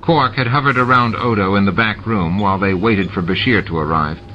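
A man reading a science fiction novel aloud in a steady, measured way. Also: fairly low pitch, slight background noise. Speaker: amateur audiobook reader. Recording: dull lo-fi recording with music.

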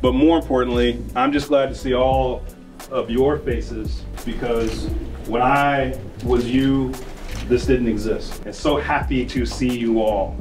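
A man's voice: medium pitch, steady tone, unhurried, music in the background. Heartfelt rowing coach, man. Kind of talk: speech to students.

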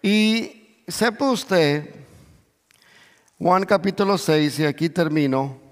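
A middle-aged man preaching in Spanish, with as his language, who peppers his sermon with hallelujahs, calls for amen, and swells toward near shouts. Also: a little high, animated, slow. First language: English. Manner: moves about and gestures on stage, voice swells to near shouts. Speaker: pastor. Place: large church stage. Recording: long reverb of a big church hall.

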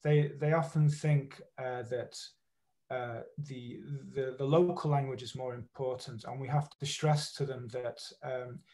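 A male university professor presenting at an online conference, casual and halting, with many fillers and restarts. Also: medium pitch, fairly even intonation, warm tone. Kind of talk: academic presentation over video call. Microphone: video call microphone.

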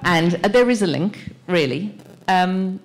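Low-voiced woman, halting and searching. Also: lively intonation, a little hiss or hum. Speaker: panel moderator. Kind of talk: thoughtful panel discussion speech. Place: indoor panel event in a plain gallery room. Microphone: handheld microphone.

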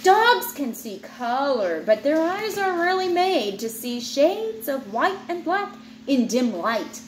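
Woman in her thirties, high-pitched, expressive read-aloud to a child, unhurried, playful asides, roomy home recording with background noise. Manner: expressive, teacherly reading that breaks off into playful asides. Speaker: parent reading aloud to a child. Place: indoors in an open room at home with high ceiling.

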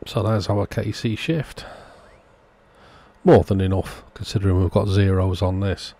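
A man hosts an informal repair narration in a fairly deep voice. His tone is dark and relaxed, with no sharp edge. He explains each step in a plain, matter-of-fact way. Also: unhurried. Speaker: host of a radio repair channel. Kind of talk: informal scripted-sounding voice-over narration of a repair.

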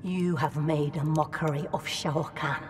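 Cold, slow-speaking warrior woman. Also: low-pitched, fairly even intonation, heavy background noise. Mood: cold threat. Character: menacing, cold female blood-magic warrior. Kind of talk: video game fight intro dialogue. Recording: distant, long reverb, loud music.

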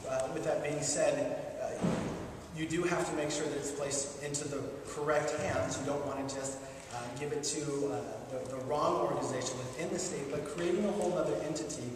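Candidate in an echoey gym. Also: male voice, fairly high pitch, fairly even intonation, distant mic, noisy background. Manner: measured, hesitant, many fillers and restarts. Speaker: legislative candidate at a party forum. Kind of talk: answering questions at a candidate forum. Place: large school gymnasium. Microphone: handheld mic, heard from far.

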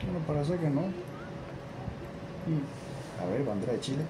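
A man in his thirties chatting casually and haltingly, as if muttering to himself, in a small room. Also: on the high side, expressive. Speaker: video host. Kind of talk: casual unscripted chat. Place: small indoor room with bare walls. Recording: clearly noisy background hum.

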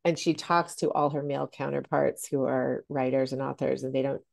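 A woman's voice: extremely low pitch, fairly even intonation, normal speed, vocal fry, a little mellow. A middle-aged woman co-hosting a podcast over a video call, talking in a chatty way with lots of fillers. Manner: chatty, many fillers like "like" and "you know". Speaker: podcast co-host.

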